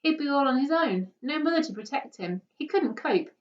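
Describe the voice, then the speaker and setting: A woman voicing a worried, earnest mother reasoning gently in a storybook reading. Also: sits high, expressive, fairly quick, roomy sound, voice pushed up. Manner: earnest, persuading. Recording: dull, distant microphone.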